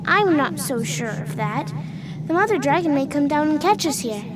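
A woman's voice: high-pitched, expressive, normal speed, background noise. A woman playing a polite, plucky little farm girl, earnest and plainspoken, in a radio play. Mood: worried. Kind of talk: radio theater acting.

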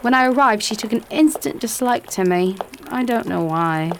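A woman's voice: a little high, lively intonation, moderate pace, a little hiss or hum. A woman playing a timid, distressed young lady in radio theatre, speaking earnestly and politely. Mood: anxious, distressed.

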